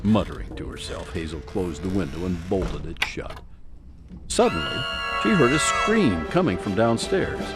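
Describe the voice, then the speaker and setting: A man narrates a dramatic story with suspense and deliberate delivery. His voice is on the low side and very animated in pitch, with music clearly behind it.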